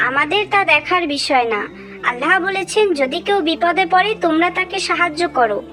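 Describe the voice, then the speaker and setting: A woman voicing a kind, pious young girl in a cartoon, earnest and measured as she gently admonishes. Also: extremely high pitch, exaggerated voice. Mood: admonishing. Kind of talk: moral cartoon voice acting.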